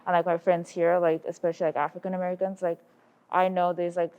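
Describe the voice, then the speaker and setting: A woman's voice: lowish voice, level tone, fast, a little mellow, smooth. Rambling student woman from Zimbabwe. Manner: rambling, constant "like" fillers. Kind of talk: casual panel discussion. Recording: dull lo-fi sound.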